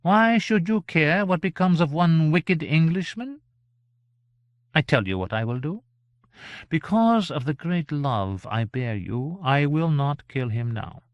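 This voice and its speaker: A man voicing a vain, capricious fairy gentleman in a storybook, theatrical and effusive, with gushing menace and drawn-out words. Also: fairly high pitch, animated, no echo, highs cut off, voice pushed up. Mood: haughty, menacing.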